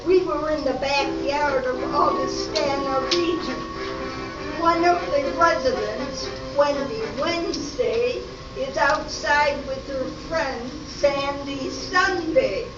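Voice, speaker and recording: Radio serial narrator played by a woman, high and very deliberate, over clear music, echoey room. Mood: measured. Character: female radio serial narrator, measured storyteller. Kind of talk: scripted radio play narration. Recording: echoey room, distant and muffled.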